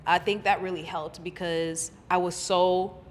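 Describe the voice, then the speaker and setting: A woman's voice: on the low side, flat delivery, measured pace, big-room sound, slight background noise. A young woman from Kenya, speaking with an American accent as a podcast guest, chatting in an easygoing, thoughtful way. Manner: easygoing, thoughtful, agreeable, frequent "right" and "yeah". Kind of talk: casual conversation.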